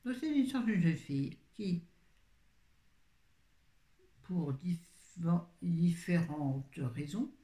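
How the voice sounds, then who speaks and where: A woman's voice: on the low side, big pitch swings, extremely slow, long pauses, mellow. A woman chatting casually about books to her viewers, rambling and often interrupting herself. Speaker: book vlogger. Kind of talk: casual book review chat. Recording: roomy echo.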